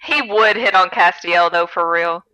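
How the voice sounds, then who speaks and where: A woman co-hosting a podcast in casual chat, reacting in an excitable, expressive way. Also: fairly low pitch, measured pace, smooth, poor audio. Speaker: podcast co-host.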